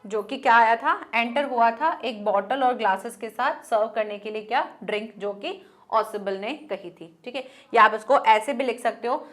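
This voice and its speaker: A woman teacher, teaching an online class. She dictates answers slowly as she writes, then explains them in Hindi mixed with English. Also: a little high. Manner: slow dictation while writing, mixing Hindi and English.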